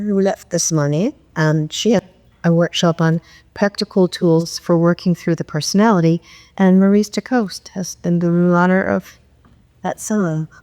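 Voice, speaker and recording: A woman's voice: lowish voice, a little mellow, no echo, long reverb tail. A woman from Canada moderating a conference session, hesitantly introducing the next speaker.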